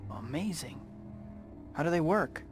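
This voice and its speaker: An eager young American superhero in a video game, quick with a wisecrack. His voice sits a little high for a man, over loud music. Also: expressive. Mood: polite, eager. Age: young adult.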